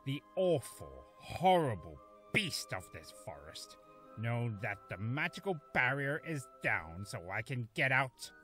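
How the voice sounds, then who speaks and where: A man playing a gruff, sardonic, suspicious male forest beast in an animated audio drama, theatrical in manner. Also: medium pitch, unhurried. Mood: incredulous, sardonic. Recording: music behind the voice.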